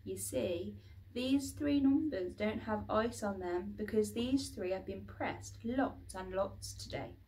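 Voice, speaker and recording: A woman reading a children's book aloud as expressive, dramatic storybook narration. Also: mid-range voice, takes it slowly, recorded from a distance, slight background noise. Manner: dramatic, with acted sound words.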